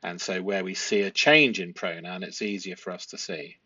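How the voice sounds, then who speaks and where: A man explaining a transcript analysis as a host, speaking deliberately and posing rhetorical questions. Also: sits low, pushed and bright, breathy edge, dry recording, dull audio. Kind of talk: explanatory analysis of a transcript, part read aloud.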